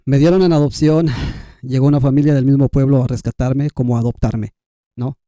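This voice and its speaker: A man's voice: mid-range voice, steady tone, fairly quick, mellow, no room sound, clean studio sound. A Guatemalan man, a native K'iche' speaker, telling his personal story conversationally as a podcast guest.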